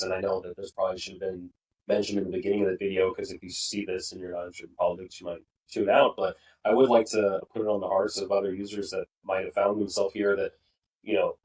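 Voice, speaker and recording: A young man co-hosts a casual podcast with a somewhat low voice. He talks earnestly and with conviction, often restarting his sentences. He sounds a little distant from the microphone. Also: a little mellow. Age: young adult. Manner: earnest, opinionated, rambling with restarts. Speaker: podcast co-host. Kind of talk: casual political discussion.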